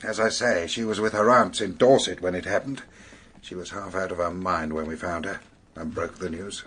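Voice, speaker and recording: An actor playing a sceptical, businesslike British police superintendent in a radio drama, questioning in a dry, measured way. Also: male voice, low-pitched, fairly even intonation, fairly bright tone, slightly rough.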